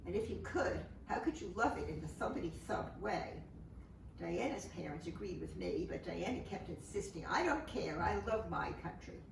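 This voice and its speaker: A woman's voice: fairly low pitch, sing-song, normal speed, vocal fry. Woman reciting poetry in echoey, noisy room. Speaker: poet. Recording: echoey, noisy, distant mic.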